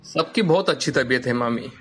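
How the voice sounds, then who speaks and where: An actor voicing a caring, agreeable young husband in an animated moral story, polite and expressive. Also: mid-range voice, a little fast, faint music behind, natural voice. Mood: affectionate, easygoing.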